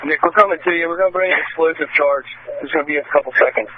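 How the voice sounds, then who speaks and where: Male cop on a police radio, sharp bright voice, kinda high, some noise on it. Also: steady tone, rapid-fire. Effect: two-way radio. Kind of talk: terse police radio traffic. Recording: noisy police scanner radio recording.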